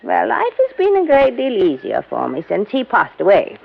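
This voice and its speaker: A woman's voice: wildly swooping pitch, normal speed, gravelly, a little hiss or hum, exaggerated voice. An actress playing a wealthy widow and former chorus girl in a vintage radio mystery, with a fluttery, dramatic manner. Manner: fluttery, dramatic swoops in pitch. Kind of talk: 1950s radio drama acting. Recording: dull vintage radio recording.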